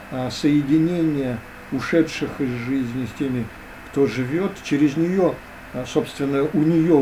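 A man talking as a guest in an interview, hesitant and full of drawn-out fillers. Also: fairly high pitch, dark tone, slight background noise, low-quality recording. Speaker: guest expert. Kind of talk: conversational interview.

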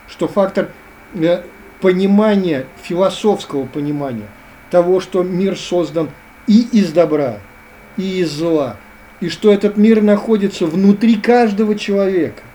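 A man explains ideas earnestly, in a lecturing way that keeps returning to the same phrases. His voice is mellow and a little higher than most men's. A faint hiss sits under the recording. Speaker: guest. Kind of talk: philosophical interview talk.

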